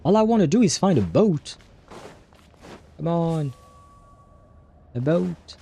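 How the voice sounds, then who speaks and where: A man's voice: a little high, expressive, slow, creaky, mellow, slight background noise. A man giving casual livestream commentary as he plays a game, muttering to himself and swearing now and then. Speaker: livestream host.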